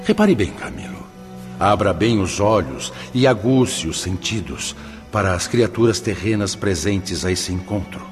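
An actor playing a benevolent, wise spirit mentor in a radio drama, explaining solemnly and patiently. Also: male voice, low voice, expressive. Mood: solemn, instructive. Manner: solemn, didactic, measured explaining. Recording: long cavernous reverb, loud music underneath. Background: music.

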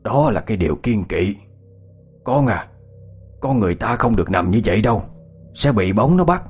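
Old village healer played by a male narrator: gruff, folksy, kindly, raspy, over background music. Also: fairly low pitch, animated, moderate pace. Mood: grave, chiding. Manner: folksy, commanding, scolding elder.

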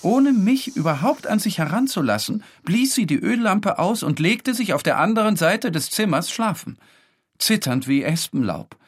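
An actor playing a tormented, obsessive man, narrating his own story in a deliberate, brooding and intense literary style. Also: high-pitched, very animated, full character voice. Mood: brooding, bitter. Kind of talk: dramatic first-person literary narration. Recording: studio narration over clear music.

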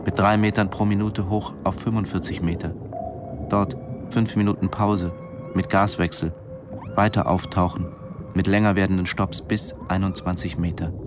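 An actor playing a brooding male diving instructor in a German radio drama, narrating in a measured, introspective way. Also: sits low, close to an everyday voice.

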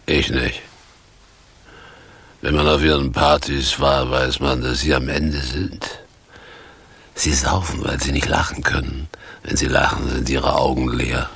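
An actor playing a brooding, theatrical homeless former stage actor in a radio play, speaking solemnly and deliberately. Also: male voice, very deep voice, flat delivery, gravelly, fairly bright tone, slightly rough, exaggerated voice. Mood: weary, bitter. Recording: 1980s radio drama with faint noise.

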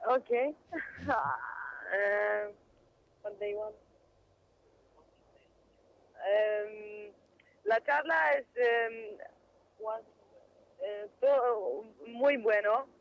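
Halting woman on a dull phone line. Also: mid-range voice. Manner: hesitant, halting non-native speech, slips into English. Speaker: interview guest, activist. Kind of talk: halting radio interview over the phone.

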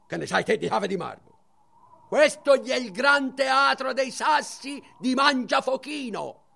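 Shrill, croaking old Tuscan father. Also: lively intonation, unhurried, bright, forceful tone, heavily acted. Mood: slyly menacing. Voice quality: creaky, raspy. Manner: theatrical, wheedling then ranting. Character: exasperated, scheming old Tuscan father, puppet maker. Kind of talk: theatrical radio play acting.

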